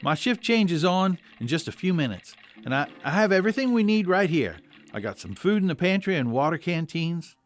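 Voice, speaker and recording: An actor with an American accent playing a loyal, respectful young telegraph assistant in a radio drama. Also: male voice, sits high, sing-song, brisk. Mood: respectful, determined.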